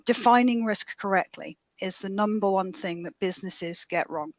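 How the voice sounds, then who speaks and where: A woman on a conference panel, explaining her points briskly and with emphasis. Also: mid-range voice, crowd noise. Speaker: panelist, data lead.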